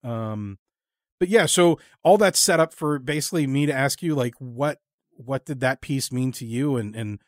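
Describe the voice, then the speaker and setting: A man hosting a casual interview, speaking in an earnest, rambling and halting way. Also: medium pitch, no echo, clean studio sound. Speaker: podcast host and interviewer.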